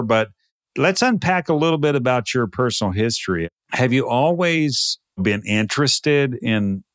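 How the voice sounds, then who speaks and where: An American man from Texas hosts a podcast. His voice sits at a medium pitch and he talks conversationally in short, deliberate phrases. The sound is dry, with very little room in it. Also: fairly bright tone, clean tone, no room sound. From Texas, United States. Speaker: podcast host. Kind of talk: podcast introduction and casual interview.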